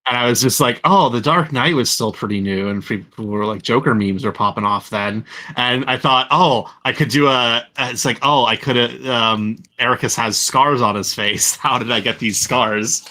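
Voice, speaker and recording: A man with a notably bright voice chats casually at a medium pitch. He rambles along, often doubling back to restart a sentence. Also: moderate pace, few pauses. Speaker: podcast panelist and fandub voice actor. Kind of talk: casual chat.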